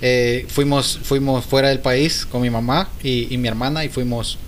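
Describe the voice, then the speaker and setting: A man's voice: mid-range voice, fairly even intonation, moderate pace, slight background noise. A man co-hosting a casual podcast chat, telling an anecdote with frequent restarts. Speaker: podcast co-host.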